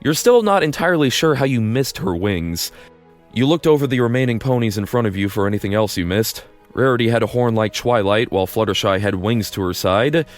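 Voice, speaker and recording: A man's voice: lowish voice, expressive, fairly quick, faint music behind. A man narrating a story in the second person, casual and wry, with dry asides.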